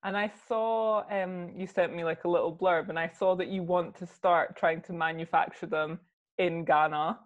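A woman's voice: on the low side, fairly even intonation, normal speed. A young woman host asks questions from a room at home, speaking into a lapel mic. She sounds encouraging and affirming. The sound is slightly dull and lo-fi. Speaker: host, interviewer. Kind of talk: casual interview over video call.

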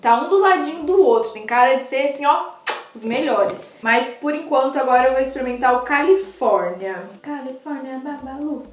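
High-voiced young woman gushing over food. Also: normal speed, reverberant, slight background noise. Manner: gushing, animated, addresses viewers directly. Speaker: food vlogger. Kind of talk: casual food tasting vlog. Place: small indoor room by a sofa.

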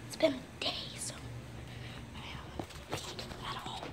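A woman vlogging playfully and often whispering hushed asides to her viewers. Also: a little slow. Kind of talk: playful pretend-play vlog.

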